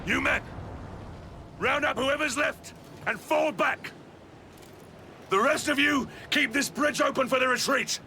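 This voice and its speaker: A man's voice: measured pace, long pauses. An actor playing an urgent Roman soldier rallying his men, in dramatic video game style. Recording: battle noise and rumbling behind.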